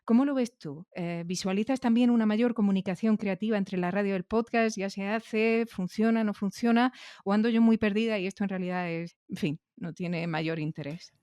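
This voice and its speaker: A woman's voice: medium pitch, moderate pace, hardly any pauses, mellow, dry recording, clean studio sound. A woman with a Castilian Spanish accent hosting a conversational interview, building long, thoughtful questions and checking in with little tags. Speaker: podcast interviewer.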